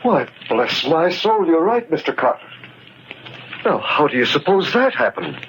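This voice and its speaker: A man playing an obliging, gossipy park superintendent in a 1940s radio mystery, with a husky voice and a folksy, deferential manner. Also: a little high, sing-song, measured pace, fairly bright tone, a little hiss or hum, heavily acted. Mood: obliging, surprised. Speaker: radio actor. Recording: muffled 1940s radio recording.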